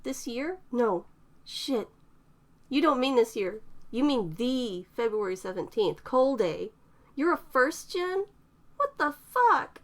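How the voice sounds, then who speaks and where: A woman doing a high, excitable young woman. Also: slow pace. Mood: shocked. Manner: emotional, swearing outbursts. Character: young woman, newly powered, excitable and tearful.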